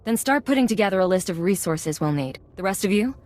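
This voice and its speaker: An actress playing a cool-headed secret agent who leads a heist crew in a video game, giving clipped, decisive orders with control. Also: female voice, mid-range voice, lively intonation, quick pace, a little mellow, dry recording, natural voice. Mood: confident, commanding.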